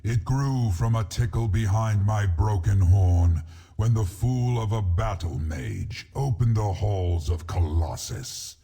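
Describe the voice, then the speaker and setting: An actor playing a proud, brooding ancient dragon in a video game, speaking formally and cryptically in a gravelly, raspy voice. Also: male voice, lowish voice, slow, warm tone, exaggerated voice. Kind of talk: video game character dialogue. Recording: music under the voice.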